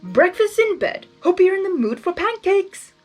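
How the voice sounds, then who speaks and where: A woman's voice: extremely high pitch, big pitch swings, moderate pace, a little mellow, soft background music, pitched above the performer's own voice. A woman voicing a playful male chaos spirit in a fan fiction reading, theatrical and doting.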